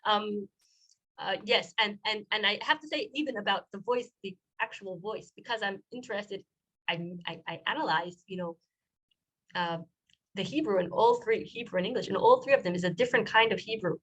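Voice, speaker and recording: Animated woman lecturer thinking aloud. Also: medium pitch, normal speed, husky, rough recording. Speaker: guest lecturer, doctoral student. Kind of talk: answering audience questions. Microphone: video call.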